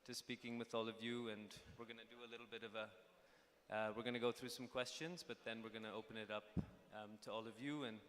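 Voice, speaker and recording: A man's voice: on the low side, fairly even intonation, brisk, few pauses, fairly bright tone, slight background noise. Hesitant man speaking on a conference stage. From Pakistan (born in the United States). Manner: hesitant, many fillers and restarts. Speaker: panel speaker, documentary filmmaker. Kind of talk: conference panel talk. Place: conference stage in a large hall. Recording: hall reverb with faint ambience.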